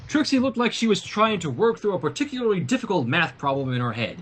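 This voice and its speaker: High-voiced man narrating a story. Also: big pitch swings, fast talker, slightly rough. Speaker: fan fiction reader and livestream host. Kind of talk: story narration with casual asides.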